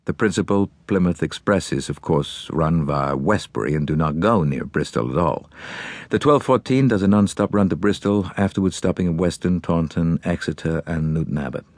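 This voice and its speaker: A man's voice: deep voice, moderate pace, no echo. A man voicing a large, older, blunt American millionaire and grieving father, with an American accent, in an audiobook. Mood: factual, sombre. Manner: blunt, forceful, businesslike. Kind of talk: audiobook character acting.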